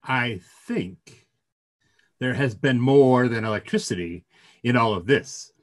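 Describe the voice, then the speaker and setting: A middle-aged man playing a grave, troubled clergyman in a play reading, speaking solemnly and deliberately. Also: mid-range voice, close to an everyday voice.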